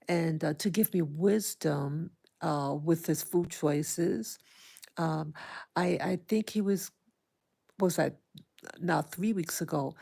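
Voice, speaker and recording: A soft-spoken woman hosting an early morning livestream, talking hesitantly with many pauses and fillers and greeting her viewers. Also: low-pitched, vocal fry. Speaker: livestream host. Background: small dog occasionally heard.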